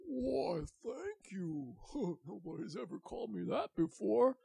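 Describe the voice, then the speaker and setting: Brief: storyteller as a puzzled gentle dragon, earnest, raspy, swinging in pitch. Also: sing-song, slow, no echo, heavily acted. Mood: bewildered. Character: big gentle bewildered male dragon. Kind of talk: children's storybook reading.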